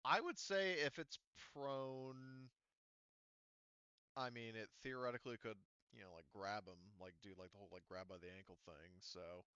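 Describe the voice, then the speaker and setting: A man's voice: mid-range voice, moderate pace, bright, forceful tone, smooth, dry recording, highs cut off. A man talking casually as game master, explaining tabletop game rules in a hesitant way, thinking aloud.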